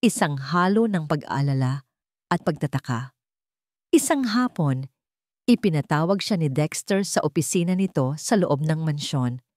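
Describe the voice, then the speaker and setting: A woman's voice: low-pitched, animated, a little slow, a little mellow, dry recording, studio quality. A woman with a Filipino accent reading a scripted love story as a dramatic storyteller.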